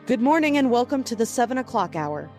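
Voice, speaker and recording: Morning anchorwoman played by an actress: upbeat and polished, slightly high voice, lightly wry, audio drama newscast over music. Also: very animated, fast talker, a little mellow. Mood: cheerful, composed. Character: composed, cheerful morning TV news anchorwoman. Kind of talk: broadcast news reading.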